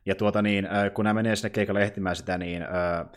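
Deep-voiced man recapping films, somewhat halting. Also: steady tone. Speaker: podcast co-host. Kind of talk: casual film discussion and plot recap.

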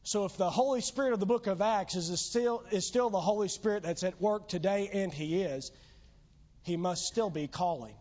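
A man preaches from the pulpit with a high, strained voice that is going hoarse. He drives home his points with rising, earnest appeals. The recording is dull and lo-fi. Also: normal speed, a little mellow. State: losing his voice. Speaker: pastor. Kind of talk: sermon. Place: church sanctuary.

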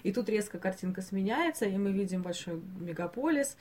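A woman co-hosting a podcast in casual discussion, speaking in a thoughtful, halting way. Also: medium pitch, lively intonation, a little mellow. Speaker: podcast co-host. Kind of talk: casual discussion of animated films.

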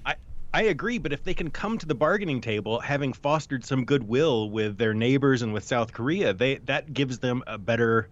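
A man's voice: medium pitch, normal speed. A man co-hosting a political discussion, laying out his points analytically with frequent restarts. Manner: analytical, many restarts and hedges. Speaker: podcast co-host. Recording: dull lo-fi sound.

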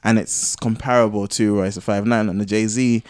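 A man with a fairly low voice talks casually as a podcast co-host. He rambles through his points and gets animated when debating. The sound is dry, with very little room in it. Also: moderate pace, dry recording. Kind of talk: casual chat.

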